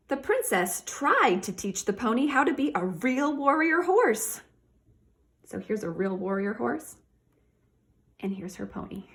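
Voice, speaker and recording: A woman teacher doing a read-aloud for children, talking directly to her young listeners in an animated way. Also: mid-range voice.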